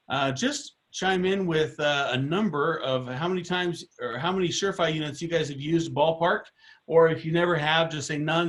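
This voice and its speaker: Middle-aged male trainer on a video call, slightly hoarse, a bit higher voice, casual and rambling. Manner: informal, rambling with frequent fillers and restarts. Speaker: product trainer. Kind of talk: online training webinar.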